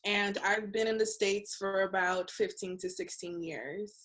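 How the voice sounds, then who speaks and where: Panelist, woman from Ghana, mid-pitched, earnest and open. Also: steady tone, unhurried, a bit bright, low-quality recording. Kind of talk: panel discussion.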